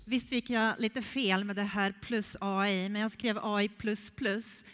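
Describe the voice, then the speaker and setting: A middle-aged woman giving a keynote on robotics from a large conference stage, speaking freely with frequent "eh" pauses into a headset mic. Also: medium pitch, fairly even intonation, fairly bright tone, faint music behind. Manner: unscripted, many "eh" pauses. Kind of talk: keynote talk. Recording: echoey hall.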